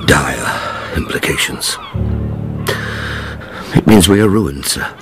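Worried old merchant, very deep voice, radio drama. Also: male voice, lively intonation, takes it slowly, faint music behind. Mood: anxious. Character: ruined older shipping merchant, anxious and stooped.

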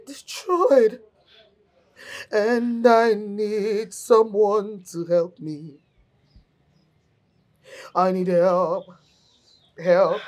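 An actress with a Nigerian accent playing a mentally disturbed, childlike young woman grieving in a film drama, rambling and repeating herself. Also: mid-range voice. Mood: tearful, desperate. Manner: repetitive, rambling, childlike speech.

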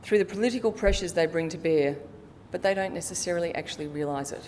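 A middle-aged woman giving a prepared formal conference presentation in a measured way, occasionally stumbling as she reads from her notes. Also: darkish tone. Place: conference hall stage, indoors. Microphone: lectern microphone. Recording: reverberant hall, audible low background rumble.

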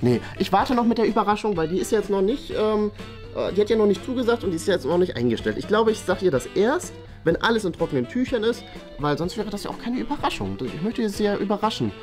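A man's voice: high-pitched, lively intonation, fast, darkish tone, soft background music. A young man playing an eager, flustered family father who runs a small café, hesitant and stammering in an acted family sketch. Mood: pleased, musing. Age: young adult. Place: small indoor office room.

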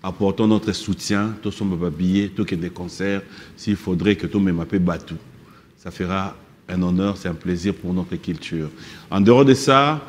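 Deep-voiced man hosting a studio show. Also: moderate pace, big-room sound. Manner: animated, switching French and Lingala. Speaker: music show host. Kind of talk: casual studio interview. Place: TV studio set. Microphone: lapel.

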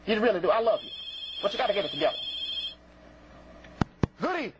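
A man's voice: sits high, big pitch swings, fast talker, dull audio, noisy background. Older male call-in host, theatrical and sassy, voice swooping up and down. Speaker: live call-in show host. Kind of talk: unscripted live call-in banter. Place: small TV studio.

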